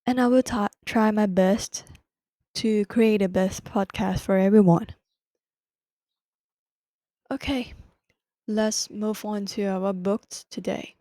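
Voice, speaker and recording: A woman's voice: mid-range voice, fairly even intonation, slow, pauses often, mellow, no room sound. A young woman with a Thai accent hosting a solo podcast, explaining a book carefully in non-native English and correcting herself as she goes. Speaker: podcast host.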